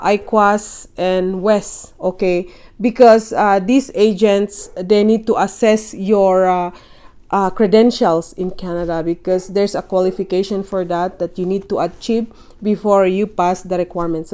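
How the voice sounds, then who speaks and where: Woman vlogger at home, mellow voice, talks straight to camera, encouraging, keeps checking in with viewers. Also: medium pitch. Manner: chatty and encouraging, often checks in with "okay?" and "you know". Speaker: vlogger giving caregiver job advice. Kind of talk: casual advice vlog to camera. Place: at home in Canada.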